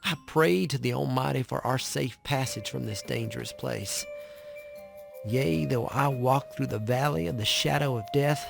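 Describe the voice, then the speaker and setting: An actor playing a troubled, devout brother who gives solemn, brooding narration in a western video game, with a gravelly voice. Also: male voice, medium pitch, normal speed. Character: troubled, pious younger brother of gunslingers, narrating. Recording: music behind the narration. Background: music.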